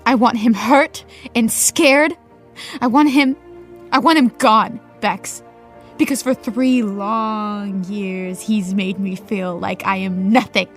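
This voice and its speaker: A woman's voice: medium pitch, steady tone, slow pace, soft background music, lightly acted. A woman playing an eager, nervous aspiring actress in an audio drama, earnest and prone to stammering. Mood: intense, impassioned. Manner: hesitant, stammering.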